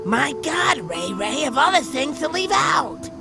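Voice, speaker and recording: Creaky, snappish housewife. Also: male voice, very high voice, big pitch swings, unhurried, music in the background, voice pushed up, full character voice. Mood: exasperated. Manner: exasperated, snapping. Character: snappish squinting suburban housewife, exasperated with her husband. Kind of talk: comic audio storytelling.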